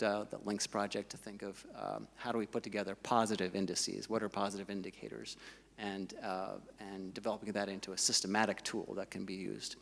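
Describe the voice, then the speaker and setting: A man speaking on a conference panel as a journal editor, halting with many fillers. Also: lowish voice, in a large hall. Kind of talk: panel discussion.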